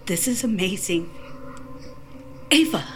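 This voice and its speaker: Curious, animated, down-to-earth newcomer. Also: female voice, medium pitch, takes it slowly, background noise. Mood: amazed. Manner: casual, frank, expressive. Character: curious, down-to-earth newcomer woman at a strange diner. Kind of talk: naturalistic audio drama acting.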